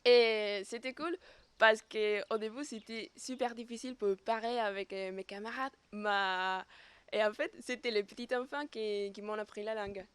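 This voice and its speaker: A woman's voice: medium pitch, fairly even intonation, moderate pace, clean tone, no echo. A young woman from southern Spain with a Spanish accent, a volunteer speaking French in a chatty, easy-laughing way. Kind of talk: interview testimony.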